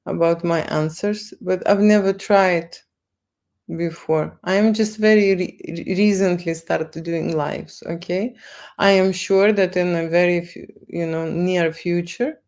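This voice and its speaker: Slow, gravelly Lithuanian-accented woman. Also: fairly low pitch, a little mellow. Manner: halting, repeats words, tag questions like okay and right. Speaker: photo studio owner livestreaming. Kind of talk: casual livestream talk to viewers.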